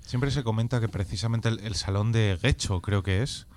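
A man's voice: fairly low pitch, normal speed, darkish tone, no echo. A man joining a casual interview chat, wry and teasing. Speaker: participant in the interview. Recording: busy crowd behind.

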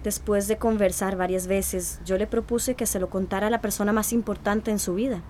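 An actress playing a calm, firm doctor with a Costa Rican accent, in a radio drama. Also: female voice, mid-range voice, brisk, slight background noise, lightly acted. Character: calm, firm female doctor counseling a family.

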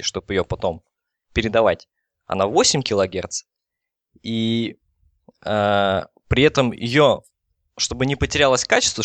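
A man giving an informal technical explanation as an expert, in a halting way with many false starts. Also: on the low side, a bit bright, dry recording. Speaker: telecom engineer explaining as guest expert.

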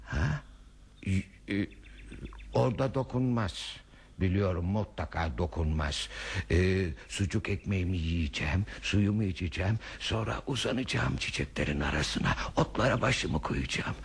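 An actor playing a wistful, daydreaming old man in a radio play, talking in a halting, rambling way. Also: sits low, gravelly, husky, heavily acted. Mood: eager. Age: older. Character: wistful, dreamy old man longing for a bicycle. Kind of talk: radio theatre acting. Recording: radio play with some background noise.

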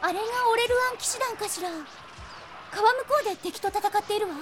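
An actress playing a lively, caring girl companion with girlish phrasing in a fantasy audio drama. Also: extremely high pitch, quick pace, a little hiss or hum. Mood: curious. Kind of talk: Japanese fantasy drama CD acting.

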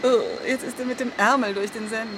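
Wry policewoman amid clear street noise, an actress. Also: fairly high pitch, steady tone, brisk, lightly acted. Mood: dry. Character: brisk, wry female federal police investigator. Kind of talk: radio crime drama dialogue.

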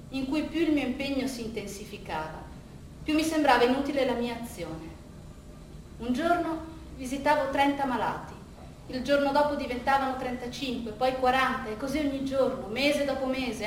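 A weary, reflective veteran psychiatrist, acted by a woman in a stage monologue, with a high voice. She speaks deliberately and builds through repetition, disillusioned after decades of work. The sound is very echoey, picked up at some distance. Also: lightly acted. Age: older. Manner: measured, deliberate, rhetorical repetition. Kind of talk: theatrical monologue. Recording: roomy, distant stage recording, faint noise.

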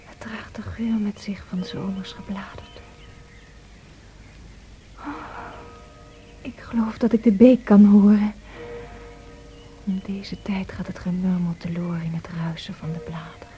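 An actress playing a frail, passionate dying young woman in a Dutch radio drama, with a breathy, languishing and theatrical delivery. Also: medium pitch, little pitch movement, measured pace, long pauses, dark tone, soft background music, close to an everyday voice. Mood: dreamy. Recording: noisy old radio play recording.

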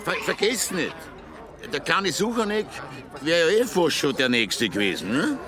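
A man's voice: fairly high pitch, big pitch swings, unhurried, crowd noise. Hesitant, stammering Austrian village man. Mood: hesitant, musing. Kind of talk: dialect radio drama acting.

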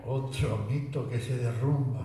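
A man voicing a boastful rustic sergeant in a comic radio play, telling tall tales in a deadpan, mock-solemn way. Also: mid-range voice, unhurried, natural voice. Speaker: radio drama actor. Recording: very echoey room, voice far from mic.

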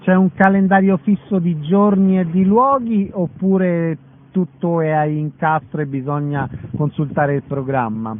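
A man's voice: on the high side, measured pace. A man hosting a radio interview, asking short questions and giving formal sign-offs. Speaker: radio host. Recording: narrow phone-like sound, faint noise.

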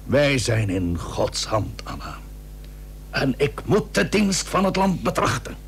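Slow, solemn old admiral, swooping delivery. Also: male voice, mid-range voice, sing-song, creaky, full character voice. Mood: solemn, resigned. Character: ailing, dutiful, pious seventeenth-century Dutch admiral, resigned to death. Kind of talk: old radio drama acting. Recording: old radio recording with faint hum.

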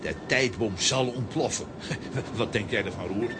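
A man's voice: lowish voice, measured pace, background noise, natural voice. A man playing a cartoon villain boss in a children's audio drama, with a theatrical manner. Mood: smug. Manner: smug, chuckling, exclaiming. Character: gloating male cartoon villain, boss of saboteurs.

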